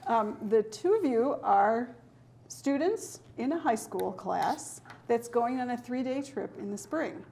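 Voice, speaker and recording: A middle-aged woman, a touch higher in pitch than most, leads a speaking test with clear, measured guidance. Her voice is mellow and soft-edged. She spells out each step for the candidates. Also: lively intonation. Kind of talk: scripted test instructions.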